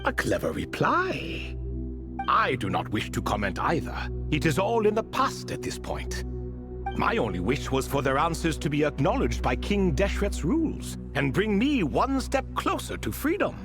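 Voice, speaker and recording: A man's voice: a little high, huge pitch swings, moderate pace, over music. A man voicing an ancient, whimsical and prideful sealed ibis spirit king in a video game, telling tales in grand archaic phrasing. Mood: shrewd, resigned. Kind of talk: scripted game dialogue.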